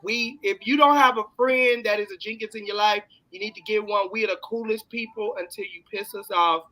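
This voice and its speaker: A man with a Texas accent hosts a casual live show. His voice is bright and forward, and he chats in a slangy, bantering way. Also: high-pitched, fairly even intonation, brisk, rough recording. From California, long settled in Texas. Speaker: talk show host. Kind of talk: casual live-stream interview chat.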